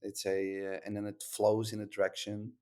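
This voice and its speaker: A man's voice: fairly low pitch, monotone, moderate pace, a little mellow. A Dutch-accented man from the Netherlands, a startup founder talking casually on a podcast, rambling with lots of fillers and restarts.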